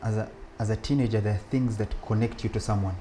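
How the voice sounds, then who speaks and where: A man's voice: on the low side, steady tone, fast talker, mellow, a little hiss or hum. Man recalling school days, laughing often. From Uganda. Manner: laughs often, frequent restarts and fillers. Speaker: guest. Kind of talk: relaxed conversational storytelling.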